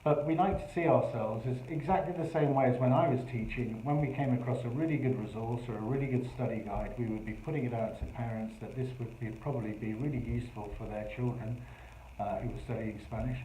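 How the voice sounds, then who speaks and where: A man who teaches Spanish explains his workshop earnestly, winding through long sentences broken by ums. His voice is mid-pitched and warm rather than bright. The recording has a noticeable room echo with faint ambience behind. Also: quick pace, a little hiss or hum. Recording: echoey room.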